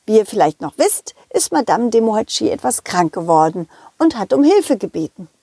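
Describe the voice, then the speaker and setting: A woman's voice: mid-range voice, sing-song, moderate pace, dark tone, husky, no echo, studio quality. A woman voicing a cheerful, loyal male dog who tells his own adventure to children in a chatty, giggly way. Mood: friendly. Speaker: storyteller. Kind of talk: children's audio play narration.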